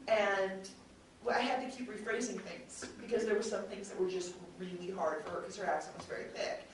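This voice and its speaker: A woman's voice: mid-range voice, steady tone, fairly quick. Woman panelist, rambling and self-correcting, very echoey hall mic from afar. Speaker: podcast host and audio drama writer. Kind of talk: panel discussion. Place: convention panel room. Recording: very echoey room, distant mic, faint noise.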